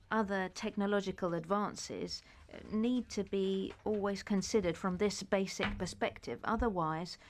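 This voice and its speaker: Woman interpreter, unhurried, halting delivery, mid-pitched. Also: darkish tone. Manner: measured, with frequent hesitations. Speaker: conference interpreter. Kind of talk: simultaneous interpretation of a speech.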